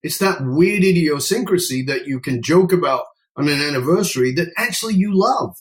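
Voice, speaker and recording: A middle-aged British man talks as a podcast guest and speaking coach. His voice sits a little high for a man, and his delivery is emphatic and outspoken. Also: lively intonation, fairly quick. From United Kingdom. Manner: emphatic, outspoken, swears casually. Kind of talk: conversational interview.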